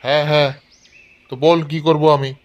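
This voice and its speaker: A man's voice: on the high side, flat delivery, moderate pace, muffled recording, background noise, lightly acted. A man voicing a short-tempered, foul-mouthed village uncle in a comedy cartoon, brusque and scolding, with a rural West Bengal Bengali accent. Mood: brusque, impatient. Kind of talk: amateur comedy cartoon dialogue.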